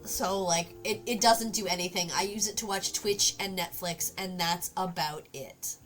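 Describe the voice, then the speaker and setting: A woman talks casually to her stream viewers while she works on a sculpture, her voice stuffy and congested from a cold. She muses aloud and lets sentences trail off with fillers. Faint music plays quietly behind her. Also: on the low side, fairly even intonation, normal speed. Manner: chatty and musing, trailing off with fillers. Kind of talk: casual live-stream chat while working.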